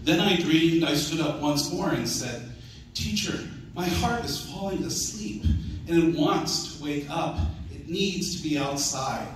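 A man's voice: a little high, animated, a little slow, a bit bright. A man giving a dramatic poetry reading to a large conference room, recorded from far off. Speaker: poem reader at a conference. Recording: echoey conference hall from far off, noisy.

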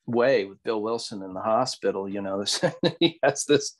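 A man co-hosting a podcast in casual, reflective discussion, halting as he searches for words. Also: lowish voice. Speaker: podcast co-host.

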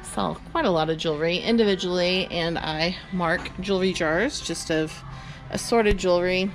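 A woman's voice: fairly low pitch, moderate pace, soft background music. A woman giving a chatty, upbeat walk-through of her booths in a large indoor antique mall, recorded on the camera she carries. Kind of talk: walk-through tour vlog.

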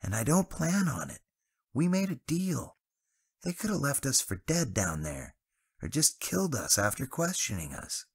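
A man voicing an excitable young male friend in a high, strained voice. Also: normal speed, creaky, slightly rough, heavily acted. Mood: hushed, firm. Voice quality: high strained voice, much raised.

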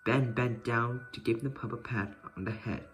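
A man's voice: mid-range voice, fairly even intonation, moderate pace, fairly bright tone, a little hiss or hum, rough recording. A man reading a children's storybook aloud as narrator. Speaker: storybook reader.

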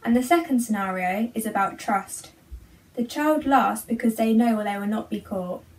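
A woman's voice: on the high side, normal speed, distant mic, poor audio. A teenage girl reading a prepared talk aloud from a sheet in a room at home.

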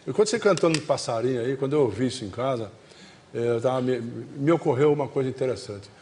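A man's voice: medium pitch, fast, darkish tone, a little hiss or hum. A man in his seventies with a Brazilian Portuguese accent, hosting a casual, folksy chat in a rambling, repetitive way full of diminutives. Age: 70s. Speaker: television show host. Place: TV studio stage before an audience. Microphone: lapel mic.

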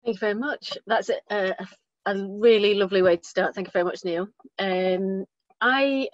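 A woman's voice: medium pitch, dry recording. A woman in her forties giving an informal introductory explanation as a speaker at an online event. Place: small indoor space with curtains. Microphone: computer mic on a video call. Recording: dull, lo-fi video call audio.

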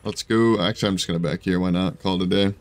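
A man with a hoarse, scratchy voice giving casual, rambling commentary over his own gameplay, full of fillers and restarts. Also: low-pitched, fairly even intonation, fast talker. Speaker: gaming video creator.

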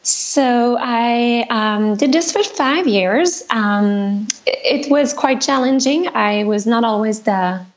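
A woman from Quebec with a Québécois French accent, French her first language, chatting as a podcast guest with many pauses and ums. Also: on the high side, dull audio. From Quebec, Canada. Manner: hesitant, many ums, warm laughing asides. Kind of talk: casual interview chat.

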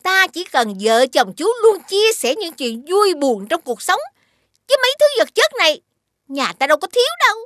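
Shrill, haughty cartoon sister-in-law. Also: female voice, big pitch swings, normal speed, fairly bright tone, dry recording, studio quality, full character voice. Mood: accusing. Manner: snooty, scornful, exaggerated. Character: haughty, suspicious, greedy rich sister-in-law. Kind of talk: animated fairy-tale voice acting.

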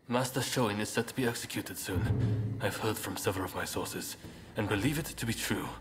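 Man playing an earnest low-voiced samurai rebel leader. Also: lightly acted. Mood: grave. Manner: polite, measured, formal. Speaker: video game character actor. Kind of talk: dramatic game cutscene acting.

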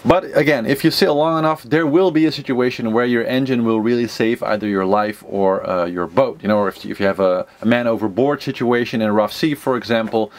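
A man's voice: medium pitch, a little fast, hardly any pauses. A man in his thirties hosting an informal how-to vlog, thinking aloud in a hesitant, rambling way as he explains things to camera. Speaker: sailing instructor and series host. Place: small wood-lined sailboat cabin, indoors.

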